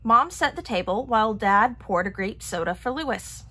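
A woman reading a children's picture book aloud in an expressive manner. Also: mid-range voice, normal speed. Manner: expressive, acting out characters' lines. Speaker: storybook reader.

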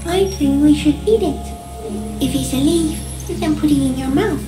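A woman voicing an ancient, gentle, alien stick insect in a video game, speaking solemnly in measured, poetic, halting phrases. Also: high-pitched, lightly acted. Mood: quietly matter-of-fact. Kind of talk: dramatic video game dialogue. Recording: echoing voice over game music. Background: music.

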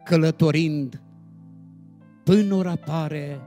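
A man reciting devotional poetry solemnly and expressively, with dramatic phrasing and deliberate pauses. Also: a little high, warm tone. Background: instrumental music.

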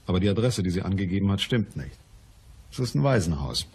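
A man's voice: low voice, expressive, fast talker, a little mellow, husky, exaggerated voice. An actor playing a shrewd, businesslike male private detective in a radio crime play.

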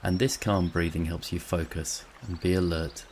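A man narrating a scripted guided meditation in a calm, soothing, measured way, with long pauses. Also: deep voice, slight background noise.

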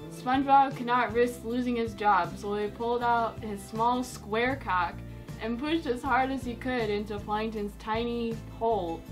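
Higher-voiced young woman reading a story. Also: low-quality recording. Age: young adult. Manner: giggly, breaks into laughter while reading. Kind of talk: mock bedtime story reading. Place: indoors, against a dark cloth backdrop.

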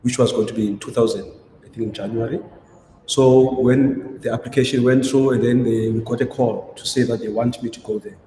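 A man's voice: medium pitch, fairly even intonation, fairly quick, dark tone. A man from South Africa, an artist, telling his story in an interview, hesitant with many restarts. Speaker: ceramic artist. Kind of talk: conversational interview. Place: gallery showroom, indoors. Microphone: video call. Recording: roomy echo with faint noise.

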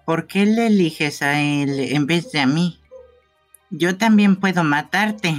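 A man's voice: fairly high pitch, slow pace, soft background music. A man voicing a morbidly courteous, theatrical young gravedigger in a fan game dub. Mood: questioning. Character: theatrical pumpkin-headed boy gravedigger, morbidly courteous, wistful.

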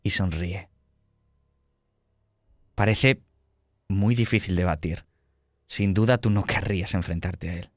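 A man acting as game master, narrating a horror scene in a deliberate, descriptive way with pauses. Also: low voice, dry recording, studio quality. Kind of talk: improvised tabletop horror narration.

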